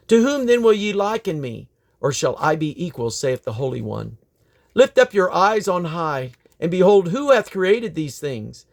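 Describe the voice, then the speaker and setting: A man with a fairly high-set voice reads scripture aloud. His delivery is solemn and declamatory, and he leans on key phrases with emphatic rises and falls. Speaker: Bible reader. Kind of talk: scripture reading aloud from the King James Bible.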